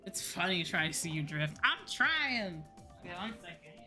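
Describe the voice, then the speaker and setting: A woman's voice: fairly low pitch, sing-song, moderate pace, bright, forceful tone. Woman livestreamer chatting over game music. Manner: opinionated, emphatic, swears freely. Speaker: streamer, VTuber host. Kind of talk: casual livestream chat while gaming. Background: video game music.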